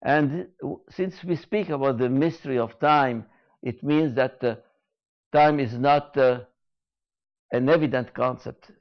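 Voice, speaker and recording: Slow, deliberate man lecturing. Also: medium pitch, highs cut off. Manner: slow and deliberate, repeats key phrases, frequent hesitations. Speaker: speaker giving a talk. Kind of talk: reflective spoken lecture.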